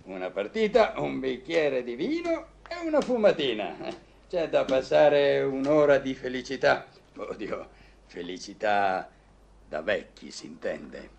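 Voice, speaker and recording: Jovial, gravelly old friend. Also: male voice, medium pitch, big pitch swings, unhurried, lo-fi sound. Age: older. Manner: hearty, coaxing. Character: jovial, bossy old card-playing friend. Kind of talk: Italian radio play acting.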